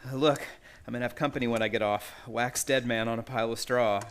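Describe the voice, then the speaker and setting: A man's voice: medium pitch, fairly even intonation, slight background noise, natural voice. A man playing an anxious, polite young chemist in a radio play, speaking in a hesitant, tormented way. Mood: nervous, desperate. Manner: hesitant, nervous, halting.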